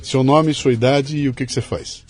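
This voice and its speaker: A Brazilian man from interior São Paulo hosting a podcast interview, telling stories in an animated, emphatic way. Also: mid-range voice, moderate pace, soft background music. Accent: São Paulo interior Brazilian Portuguese. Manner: animated storyteller, emphatic asides. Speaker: podcast host. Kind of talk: conversational interview.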